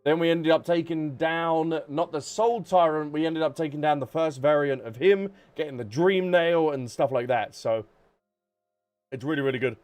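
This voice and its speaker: A man's voice: on the high side, moderate pace, a little mellow, soft background music. A man hosting a livestream, talking casually and informally to his viewers in a chatty way. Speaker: live streamer, host.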